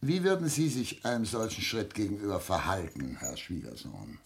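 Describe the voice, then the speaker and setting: An actor playing an aging, sardonic family patriarch in a German radio play, speaking with formal, probing irony. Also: male voice, lowish voice, expressive, moderate pace, gravelly. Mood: cutting, formal. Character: imperious aging patriarch, sardonic privy councillor and father.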